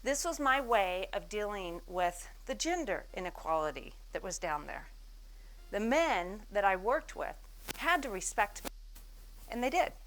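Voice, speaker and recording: Woman in her forties, American, stage business talk, lively storyteller, acts out quotes, self-mocking humor, middle pitch. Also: unhurried, rough recording. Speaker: speaker giving a business talk. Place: event stage before an audience.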